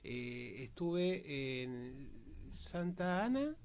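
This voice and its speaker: A man's voice: mid-range voice, sing-song, extremely slow. A man with an Argentine accent discussing political history conversationally, in broken phrases with rhetorical turns. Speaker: panelist on history programme.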